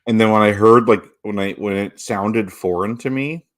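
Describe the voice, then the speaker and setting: A man's voice: on the low side, normal speed. A man with a Canadian accent co-hosting a casual podcast, talking in a hesitant way full of restarts. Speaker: podcast co-host.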